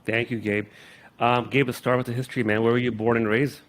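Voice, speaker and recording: A man's voice: mid-range voice, little pitch movement, a little fast. A man hosting a podcast, interviewing his guest in a casual, admiring, conversational way. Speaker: podcast host.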